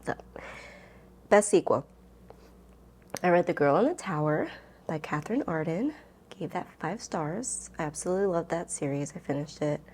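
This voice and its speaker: Casting: woman with a lowish voice for casual book vlogging; loose, rambling, self-interrupting delivery. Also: lively intonation, moderate pace, pauses often, a little mellow, a little hiss or hum. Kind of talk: casual chat to camera, book tag.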